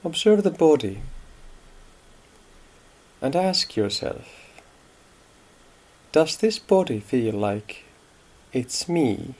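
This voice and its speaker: A man guiding a meditation in a calm, unhurried manner, leaving long pauses between phrases. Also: medium pitch. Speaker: meditation guide. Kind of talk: guided meditation, unscripted instruction.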